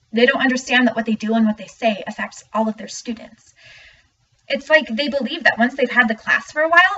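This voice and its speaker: A woman's voice: a little high, bright, forceful tone, breathy edge, exaggerated voice. A young woman playing an earnest student who delivers a scripted dramatic monologue with emphasis. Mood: indignant. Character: earnest student speaking out about teachers. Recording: muffled, distant mic, faint noise.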